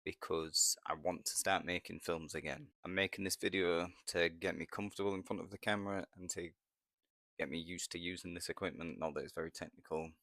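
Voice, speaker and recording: A man's voice: low voice, fairly even intonation, brisk, sharp tone, dry recording, clean studio sound. A man in his thirties with a Yorkshire accent, talking hesitantly and self-consciously into a small microphone in his first vlog. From England. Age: 30s. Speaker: vlogger. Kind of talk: nervous first vlog to camera.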